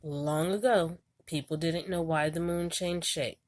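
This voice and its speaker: A woman reading an educational children's book aloud in a deliberate, storybook manner. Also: deep voice, fairly even intonation, a little hiss or hum.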